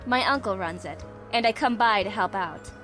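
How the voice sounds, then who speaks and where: A woman playing a prickly but secretly soft-hearted young woman in a visual novel game, with a guarded, defensive manner. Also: mid-range voice, moderate pace, music in the background, close to an everyday voice. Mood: earnest, softened. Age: young adult.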